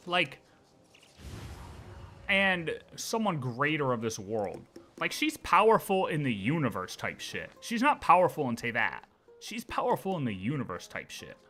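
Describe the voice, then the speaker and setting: Bright, forceful man livestreaming casually. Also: fairly high pitch, huge pitch swings, unhurried, soft background music. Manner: emphatic, slangy, repeats phrases. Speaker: game livestreamer.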